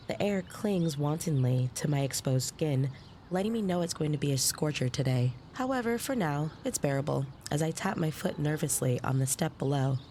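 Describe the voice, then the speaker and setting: A woman's voice: low voice, darkish tone, slight background noise. A woman playing an earnest young woman who narrates her own story expressively in an audio drama. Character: bubbly, anxious young woman narrating her new job.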